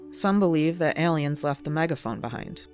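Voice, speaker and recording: A narrator reading a scripted documentary piece in a measured, explanatory way. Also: medium pitch, animated, darkish tone. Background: ambient chill-out music.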